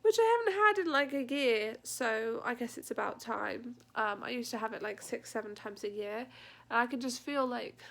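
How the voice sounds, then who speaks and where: Congested young woman with a higher-pitched voice. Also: lively intonation, moderate pace, creaky, fairly bright tone. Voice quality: congested, blocked-nose sound. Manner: chatty, informal, laughs lightly at her own complaints. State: congested with a sore throat. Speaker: vlogger. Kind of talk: casual pregnancy update vlog.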